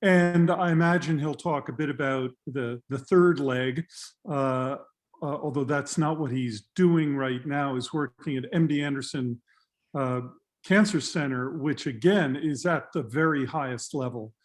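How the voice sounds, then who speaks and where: A man hosting an online lecture, introducing the guest in a measured way, with frequent uh fillers. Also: medium pitch. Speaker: host introducing a speaker. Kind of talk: formal introduction. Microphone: video call.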